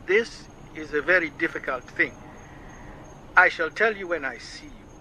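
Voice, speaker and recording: A man's voice: fairly high pitch, expressive, moderate pace, sharp tone, slight background noise, low-quality recording. An actor playing an anxious, earnest man phoning a friend in a radio drama, insistent, with a Southern African accent. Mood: anxious, urgent.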